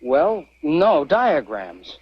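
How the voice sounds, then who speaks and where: A man's voice: a little high, sing-song, very slow, husky, heavily acted. An actor playing an eager, lovestruck young mining camp man with an earnest, boyish manner in a vintage Western radio drama. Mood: eager, insistent. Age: young adult. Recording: muffled old radio recording, faint music.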